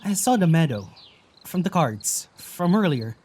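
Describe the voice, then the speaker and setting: An actress playing an evasive, low-key mystic traveler in a naturalistic audio drama, hesitant and understated. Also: female voice, big pitch swings, measured pace, darkish tone, a little hiss or hum. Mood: uncertain.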